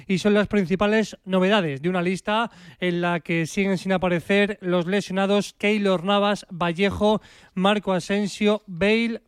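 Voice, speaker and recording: A man reading out a radio sports report, listing facts crisply. His voice is high and smooth. The sound is close and dry. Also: dry recording. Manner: crisp, fact-listing delivery.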